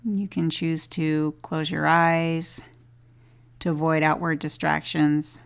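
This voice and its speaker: Very slow, low-pitched woman guiding meditation. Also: flat delivery, creaky, clear, resonant tone. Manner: gentle, unhurried instruction with long pauses. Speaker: meditation teacher leading a body scan. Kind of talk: guided meditation.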